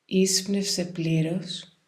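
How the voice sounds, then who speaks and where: A woman guides a meditation in a calm, soothing, measured way, leaving pauses between phrases. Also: lowish voice. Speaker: yoga nidra meditation guide.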